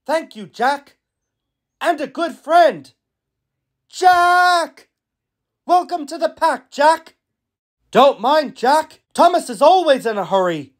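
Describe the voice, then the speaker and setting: A man as a high, cheerful little digger. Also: lively intonation, takes it slowly, pauses often, full character voice. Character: cheerful, friendly male little excavator. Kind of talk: cartoon character voice reel.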